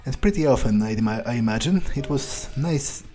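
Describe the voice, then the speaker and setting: A man doing in-character game commentary, thinking aloud in a deliberate, dryly musing manner. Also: mid-range voice, lively intonation, mellow, faint music behind. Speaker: let's player role-playing a vampire character.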